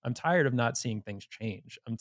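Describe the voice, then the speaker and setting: A man's voice: mid-range voice, expressive, normal speed, dry recording, clean studio sound. A man hosting a podcast in an informal, blunt conversational manner. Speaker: podcast host. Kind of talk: conversational interview.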